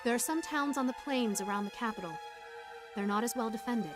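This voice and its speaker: Woman as headstrong officer, higher-pitched command. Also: fairly quick, music in the background, close to an everyday voice. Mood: resolute. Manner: commanding, insistent. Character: headstrong, forceful young female army officer. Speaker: game voice actor. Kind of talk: dramatic game dialogue.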